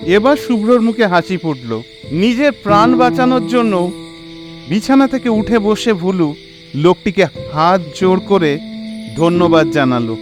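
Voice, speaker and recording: A man narrating a scripted horror story in an expressive, suspenseful, dramatic way. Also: sits high, a little slow, over music.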